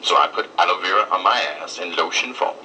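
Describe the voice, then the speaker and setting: A man playing an earnest, distressed restaurant customer on a prank phone call, speaking in a deadpan, halting, insistent way. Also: low voice. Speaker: prank caller. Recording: distant, noisy phone call recording.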